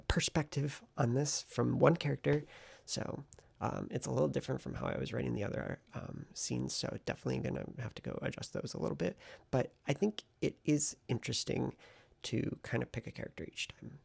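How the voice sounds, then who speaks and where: A man's voice: medium pitch, gravelly, darkish tone. A man talking casually to his vlog audience, rambling informally as he thinks aloud. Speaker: vlogger and hobby novelist. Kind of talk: casual writing vlog chat.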